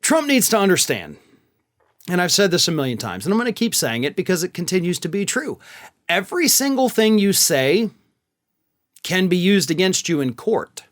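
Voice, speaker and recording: Male political commentator, high-pitched voice, emphatic and sarcastic, opinionated host delivery. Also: big pitch swings, normal speed. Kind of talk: opinionated news commentary to camera.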